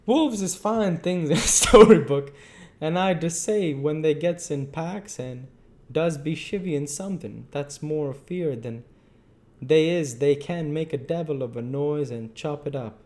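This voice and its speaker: A man performs an old keeper from a classic storybook, his voice pitched well up. He rambles on in homely dialect, opinionated and emphatic, with loose rustic grammar. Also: unhurried, darkish tone, natural voice. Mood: folksy, opinionated. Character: talkative old Cockney zookeeper, folksy and opinionated. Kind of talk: audiobook character voice.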